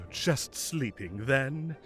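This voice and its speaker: A man playing a mischievous, evasive fae king who is secretly fond, theatrical and halting when flustered, in an audio roleplay. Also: mid-range voice, animated, fairly bright tone, breathy edge, soft background music, full character voice. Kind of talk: scripted audio roleplay acting.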